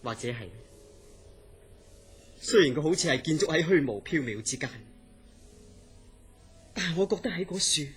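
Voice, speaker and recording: Actor as obsessed dreamer, dramatic, swooping Cantonese delivery. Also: male voice, on the high side, expressive, normal speed, long pauses, husky, soft background music. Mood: obsessed, intense. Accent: Hong Kong Cantonese. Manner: earnest, dramatic storytelling. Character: troubled dreamer man, obsessed with a mysterious dream city. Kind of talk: Cantonese radio horror drama acting.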